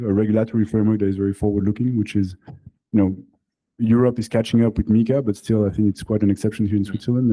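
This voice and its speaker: Fast-talking man, very dark French accent. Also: on the low side, rough recording. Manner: quick, restarts and fillers. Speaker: panelist, company co-founder. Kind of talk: panel discussion. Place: event stage.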